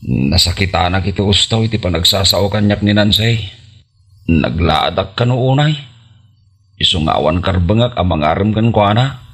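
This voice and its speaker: A man acting a humble, poor, plaintive suitor with a husky voice and Ilocano accent in a comedy radio drama. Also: low-pitched, expressive, moderate pace, a bit bright, full character voice. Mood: hurt.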